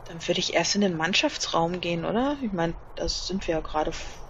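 A woman's voice: lowish voice, animated, fairly quick, fairly bright tone, muffled recording, slight background noise. A woman playing as a contestant, talking casually through an interactive game. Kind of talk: casual game play discussion.

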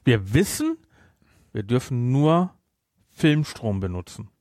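A man with a German accent chatting casually about a film as a podcast host, with an animated, teasing manner. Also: mid-range voice, slow pace, no room sound, clean studio sound. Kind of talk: casual chat, film discussion.

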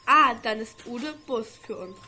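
A young woman playing a curious, quick-witted schoolgirl, inquisitive and emphatic, in an amateur radio play. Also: on the high side, lively intonation, bright, forceful tone, a little hiss or hum, heavily acted.

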